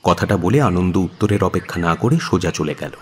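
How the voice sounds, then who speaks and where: A husky male narrator with a low voice and lively, swinging intonation. He tells a scripted story dramatically, with long reverb around him. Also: fairly quick, slight background noise.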